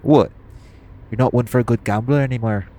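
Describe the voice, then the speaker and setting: A man's voice: mid-range voice, a little fast, background noise, close to an everyday voice. A man playing a cocky, teasing, foul-mouthed hitman in an audio roleplay. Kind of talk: audio roleplay acting addressed to the listener.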